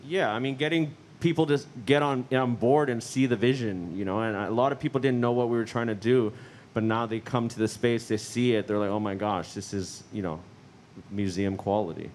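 A man's voice: on the low side, brisk, a little mellow, a little hiss or hum. Laid-back man chatting on a panel. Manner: laid-back, lots of "you know". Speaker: gallery founder, panelist. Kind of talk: casual panel chat. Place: large gallery, indoors. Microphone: handheld mic.

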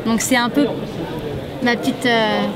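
High-voiced woman over loud crowd noise. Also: steady tone, measured pace. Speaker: painter and perfume creator presenting her work. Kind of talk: spontaneous presentation in an interview. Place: gallery storefront on a city street. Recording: busy crowd and street noise behind.

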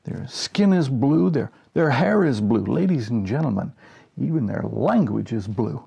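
A man voicing a loud, excitable carnival barker in a declamatory showman's manner, from a humorous story. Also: mid-range voice, unhurried, creaky, husky, dull audio, full character voice. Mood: showy, amazed.

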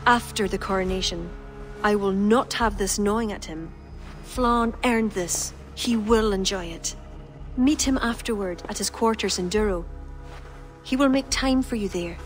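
A woman's voice: medium pitch, a little slow, faint music behind, close to an everyday voice. A woman playing a self-assured young poetess in a video game, speaking in a poised, teasing, commanding way. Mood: firm, protective. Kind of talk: video game cutscene acting.

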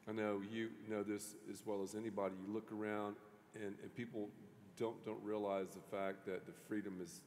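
A middle-aged man, a pastor, interviewing a guest on a church stage in an animated way, with many restarts. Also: on the low side, a little hiss or hum. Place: large church stage before an audience. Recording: long hall reverb.

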